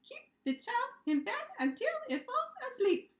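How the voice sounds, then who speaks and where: Storybook casting: a small squeaky monster girl, very high-pitched, bouncy and eager. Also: very animated, measured pace, echoey room, heavily acted.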